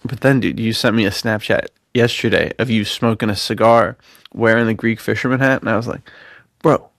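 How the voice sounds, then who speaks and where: Creaky-voiced man, slangy podcast chat. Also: on the low side, a little fast, dry recording. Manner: slangy, excitable bro talk. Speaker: podcast co-host. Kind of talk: casual, enthusiastic chat between friends.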